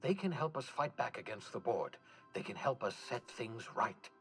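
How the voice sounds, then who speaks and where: An eccentric scientist from a video game, acted by a man, grave and impassioned. His delivery is theatrical and articulate, with a very lively pitch range, over faint music. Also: normal speed, natural voice. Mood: urgent, earnest. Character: eccentric, impassioned scientist rallying help against a tyrannical board. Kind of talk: video game character dialogue. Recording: music behind the voice.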